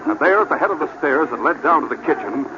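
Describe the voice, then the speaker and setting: A man's voice: fairly high pitch, lively intonation, fast talker. Dramatic old-time radio narrator, man, muffled. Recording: noisy, muffled vintage radio recording.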